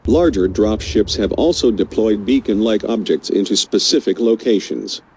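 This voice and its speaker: A man playing a radio news anchor, reading a scripted crisis bulletin in a formal, measured way. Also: on the low side, darkish tone, breathy edge. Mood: grave, formal. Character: male radio news anchor covering an unfolding invasion crisis.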